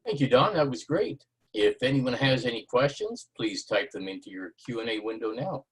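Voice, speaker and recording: A man's voice: mid-range voice, animated, moderate pace, fairly bright tone, husky, low-quality recording. A man acting as webinar moderator, reading out audience questions to a presenter.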